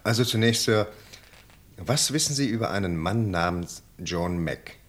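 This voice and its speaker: An actor playing a British police sergeant in a German radio crime play, polite and soothing yet insistent. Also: male voice, lowish voice, expressive, a little slow. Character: persistent, coaxing male British police sergeant questioning witness.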